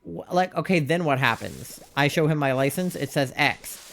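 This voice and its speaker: A man's voice: on the high side, animated, normal speed, creaky, a bit bright, slight background noise. A young adult man streaming live, chatting candidly in a rambling way, full of "like" fillers and exasperated rhetorical questions. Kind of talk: casual chat while live-streaming a game.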